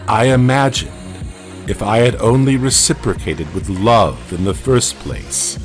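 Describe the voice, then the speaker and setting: A man narrating a scripted fantasy story in a solemn, theatrical, deliberate manner. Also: low voice. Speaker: narrator of an audio comic.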